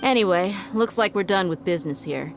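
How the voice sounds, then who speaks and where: A shrewd female mercenary in a game cutscene, her voice sitting on the low side. She speaks with wry, matter-of-fact confidence and full self-assurance, over soft background music. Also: expressive, brisk. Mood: businesslike. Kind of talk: video game cutscene voice acting.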